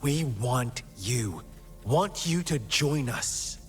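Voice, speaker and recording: An actor playing a sinister, mocking cult overseer in a video game, speaking in a theatrical, smugly courteous way. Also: male voice, mid-range voice, lively intonation, drawn-out words, long reverb tail, faint music behind. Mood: smug menace. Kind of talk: dramatic video game voice acting.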